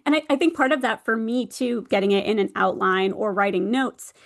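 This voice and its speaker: A woman chatting casually as an interview guest about her work, animated and playful when she quotes her own reactions. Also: medium pitch, a little fast, vocal fry. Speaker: guest author, ghostwriter and audio drama producer.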